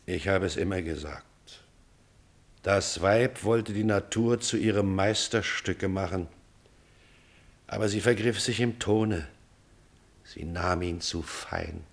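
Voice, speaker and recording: A deep, gravelly anguished father. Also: exaggerated voice. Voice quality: gravelly, husky. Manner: weighty, deliberate declamation. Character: stern, anguished older father, honour-bound and tender. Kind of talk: classical German stage tragedy as radio drama.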